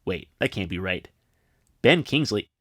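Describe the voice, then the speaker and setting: A man's voice: sits low, lively intonation, measured pace, a bit bright. A man in his thirties delivering scripted video essay narration in a wry, sarcastic way. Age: 30s. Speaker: film review channel host. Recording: music behind the voice. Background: background music.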